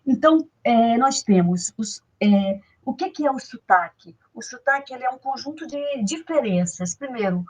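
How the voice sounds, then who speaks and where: Woman guest, kinda high voice, halting, lots of fillers while explaining. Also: lively intonation, breathy edge, poor audio. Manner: measured, halting, with many fillers and restarts. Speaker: guest expert on folk traditions. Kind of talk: explanatory interview talk.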